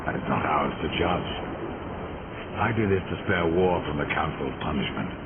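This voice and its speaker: Deep gravelly grim horseman of death. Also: moderate pace, very noisy. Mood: grim, resolute. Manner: terse, solemn, deadpan. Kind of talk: video game cutscene acting. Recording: dull game audio, loud background ambience.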